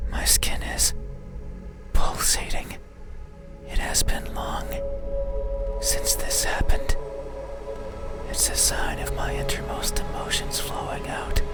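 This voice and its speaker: An actor plays an eerie horror game monster who whispers in a breathy, voiceless hush. The phrasing is very slow, deliberate and intimate, curious and pleading. Loud music plays behind the voice. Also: natural voice. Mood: intense, pleading. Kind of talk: ASMR horror game monologue.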